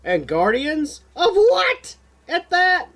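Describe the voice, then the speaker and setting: A man's voice: extremely high pitch, huge pitch swings, slow pace, fairly bright tone, pitched above the performer's own voice, full character voice. A man voicing a hot-headed young college student with superpowers in an audiobook, exclamatory and easily frustrated. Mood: frustrated, incredulous.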